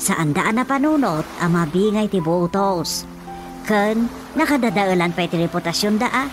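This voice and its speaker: A woman's voice: medium pitch, lively intonation, moderate pace, music in the background, lightly acted. A woman acting a frank village daughter advising her father in a radio drama, with an Ilocano accent. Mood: frank, persuasive.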